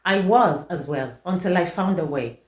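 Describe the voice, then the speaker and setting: Nigeria-born woman doing a peppy sales pitch, lively voice, echoey room. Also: moderate pace. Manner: upbeat, persuasive. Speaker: online business mentor, host. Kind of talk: promotional testimonial address.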